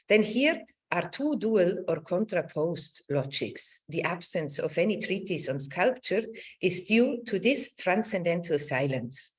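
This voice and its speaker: A middle-aged woman giving an academic lecture over a video call, with a halting, searching delivery that circles back over words. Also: on the low side. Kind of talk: academic lecture with slides and read quotations. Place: indoors on a video call with screen sharing.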